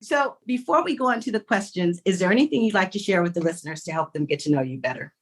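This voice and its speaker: A woman hosting a podcast interview, encouraging and expressive, now and then slipping into playful put-on voices. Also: medium pitch, quick pace. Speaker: podcast host.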